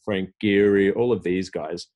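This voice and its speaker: A man's voice: low-pitched, steady tone, unhurried, darkish tone, lo-fi sound. A middle-aged man from Australia, a tech executive guest, talking casually in an interview and rambling with many fillers and restarts.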